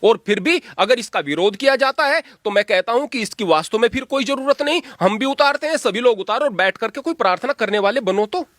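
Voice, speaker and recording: High-voiced preachy holy man. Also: lively intonation, brisk, hardly any pauses, fairly bright tone, no echo, studio quality. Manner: preachy, emphatic, plain-spoken. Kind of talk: earnest spoken argument.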